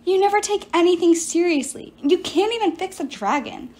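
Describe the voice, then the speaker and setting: A woman's voice: very high-pitched, moderate pace, darkish tone, voice pushed up. A woman voicing an earnest, prickly teenage boy hero in an audiobook. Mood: resentful.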